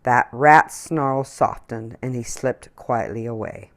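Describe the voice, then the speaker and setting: A woman reading a children's picture book aloud in an expressive storytelling manner. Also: extremely low pitch, slow.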